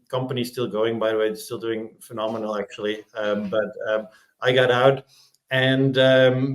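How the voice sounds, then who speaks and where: A man, a podcast guest talking in a relaxed, rambling way as he searches for words. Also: mid-range voice. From Belgium. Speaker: podcast guest, startup executive. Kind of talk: casual interview chat.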